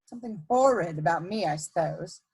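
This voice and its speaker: A woman playing a sly, prying society schemer in a theatrical period comedy reading, musing deliberately to herself. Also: lowish voice, expressive, a little mellow, slight background noise. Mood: curious, sly.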